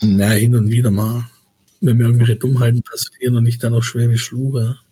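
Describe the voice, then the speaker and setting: A man's voice: medium pitch, level tone, a little fast, mellow, breathy edge, dry recording, rough recording. A man with a light Swabian accent hosting a podcast in a rambling, chatty way with many hesitations. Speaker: podcast host. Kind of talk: casual chat.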